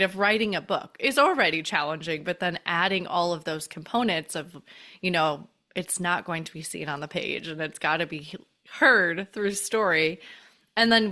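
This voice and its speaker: Bright-voiced woman podcast host. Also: on the low side. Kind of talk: casual interview chat.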